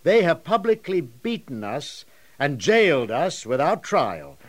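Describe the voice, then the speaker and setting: An actor playing a steadfast, earnest missionary prisoner in an audio drama, speaking in a measured, expressive way. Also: male voice, on the high side. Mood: indignant, firm.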